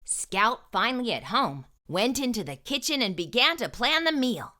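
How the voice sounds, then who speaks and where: A woman reading a storybook aloud to young children, with expressive, emphatic delivery and deliberate phrasing. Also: on the high side.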